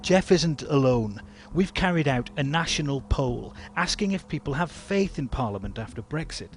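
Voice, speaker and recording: A male reporter narrating a news documentary in a measured, grave way. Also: a little high, lively intonation, faint music behind.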